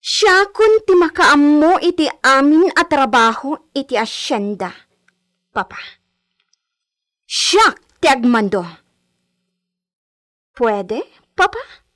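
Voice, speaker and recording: A woman playing a haughty, spoiled young landowner's daughter in a Filipino radio drama, with a Filipino accent and drawn-out, Spanish-flavoured phrasing. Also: high voice, animated, fairly bright tone, full character voice. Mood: haughty, coaxing. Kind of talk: Ilocano radio drama acting.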